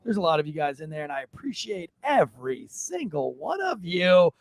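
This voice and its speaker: A man's voice: fairly high pitch, very animated, normal speed, creaky, no room sound. An American man hosting a podcast livestream in a casual, rambling, joking way with some swearing. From United States. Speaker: podcast host. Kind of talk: casual livestream talk show chat.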